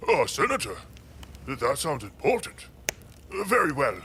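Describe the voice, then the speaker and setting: An actor voicing a sleepy, kindly storyteller creature with a hesitant, genteel, musing manner, in an audio drama. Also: male voice, a little high, huge pitch swings, unhurried, pushed and bright, a little hiss or hum, full character voice. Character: Yuben Kai, big-nostriled sleepy kindly male storyteller creature.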